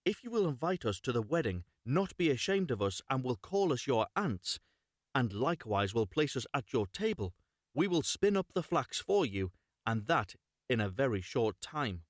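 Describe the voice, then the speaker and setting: A man's voice: medium pitch, sing-song, normal speed, dry recording, clean studio sound. A man voicing odd old spinning women in a fairy tale, helpful and matter-of-fact. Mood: offering help.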